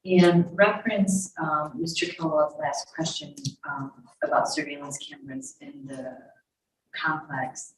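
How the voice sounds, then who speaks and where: A woman's voice: low-pitched, sing-song, a little slow. A woman questioning a witness formally as a lawyer in court. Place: echoey courtroom, far from the mic. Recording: echoey, distant courtroom audio.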